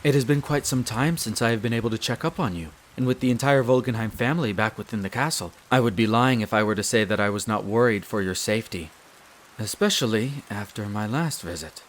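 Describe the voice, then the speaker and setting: A man playing a courteous, devoted wolf butler in a roleplay audio drama, speaking in a formal, measured and deferential way. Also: on the low side, a little hiss or hum, natural voice. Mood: concerned, polite. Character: courteous, devoted male wolf butler, head servant of vampire castle.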